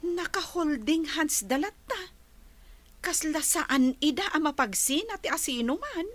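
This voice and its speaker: A woman's voice: sits high, animated, unhurried, a bit bright, no room sound. A woman with a Filipino accent playing a gushing, giggly young friend in a radio drama.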